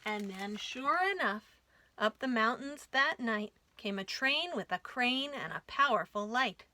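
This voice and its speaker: A woman reading a rhyming picture book aloud for young children in an expressive storytime style. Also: on the high side, measured pace. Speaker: children's storytime reader at a library.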